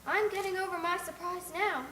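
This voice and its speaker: A woman's voice: extremely high pitch, steady tone, moderate pace, sharp tone, echoey room, slight background noise, voice pushed up. A woman voicing a reserved, firm-minded middle-aged spinster who speaks in a dry, restrained way in an audiobook. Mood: measured. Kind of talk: audiobook character reading.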